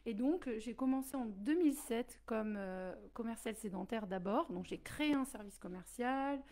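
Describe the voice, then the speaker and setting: A French-born woman, a company director, answering interview questions in a steady, earnest way with frequent fillers. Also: fairly high pitch, moderate pace. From France.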